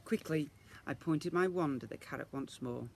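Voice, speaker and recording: A man reading a children's story aloud in the expressive style of a teacher reading to young children. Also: a little high, normal speed, vocal fry.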